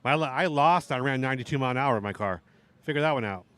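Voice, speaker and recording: A middle-aged man with a hoarse voice, casually commentating a live outdoor RC drag race. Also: medium pitch, fast talker, a bit bright. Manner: casual, rambling banter with restarts.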